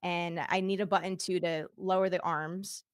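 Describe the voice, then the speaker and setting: Giggly low-voiced woman streamer. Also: steady tone, normal speed, clean tone, dry recording, clean studio sound. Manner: giggly, chatty, laughs often. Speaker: VTuber guest. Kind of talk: casual livestream demo chat. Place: home streaming setup. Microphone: streaming microphone.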